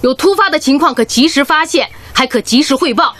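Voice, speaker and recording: An actress playing a strict but caring head nurse in a radio drama, brisk and commanding, nagging her team. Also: sits high, expressive, a bit bright. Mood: stern, testing. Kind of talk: Chinese radio drama acting.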